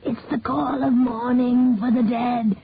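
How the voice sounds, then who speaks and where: Woman doing a creepy cackling old man, slow taunting drawl, slightly higher voice, thin tinny line. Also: level tone, gravelly, slight background noise. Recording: dry, tinny phone-like audio.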